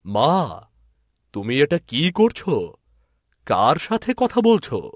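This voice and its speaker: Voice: mid-range voice, wildly swooping pitch, slow, no echo, clean studio sound, heavily acted. A man playing a worried, doting king and father in a fairy-tale cartoon. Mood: gentle, curious.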